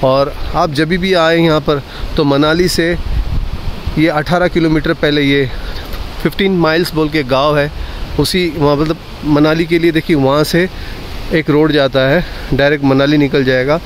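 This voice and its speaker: A man's voice: a little high, steady tone, moderate pace. A man hosting a fishing vlog, talking casually to viewers and often repeating short phrases. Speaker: fishing channel host. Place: outdoors by water, partly on a boat. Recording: noisy outdoor recording with boat sounds.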